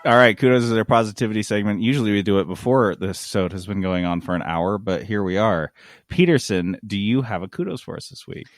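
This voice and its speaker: A man with a lowish voice co-hosts a casual gaming podcast. He talks in an informal, slangy way. The sound is dry, with very little room to it. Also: quick pace, no room sound, faint music behind. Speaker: podcast host.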